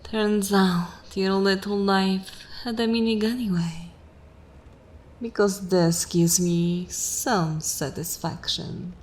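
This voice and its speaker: A woman voicing a dominant, sensual vampire mistress in an ASMR fantasy roleplay, commanding and savouring, often slipping into soft breathy whispers. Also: mid-range voice, fairly even intonation, slow, close to an everyday voice. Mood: savouring, menacing. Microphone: close microphone.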